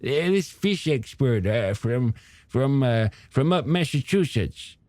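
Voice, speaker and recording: A man's voice: medium pitch, animated, slow pace, a little mellow, dry recording, pitched above the performer's own voice. A man voicing a gossipy, folksy male small-town shopkeeper in an audiobook, speaking in a hesitant, stammering way. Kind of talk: audiobook character dialogue.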